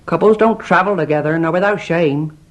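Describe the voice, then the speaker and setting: An actress playing a stern, pious, prudish old widow who keeps an inn, with a curt, disapproving manner. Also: female voice, lowish voice, fairly quick, lightly acted, rough recording. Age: older. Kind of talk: stage farce radio acting.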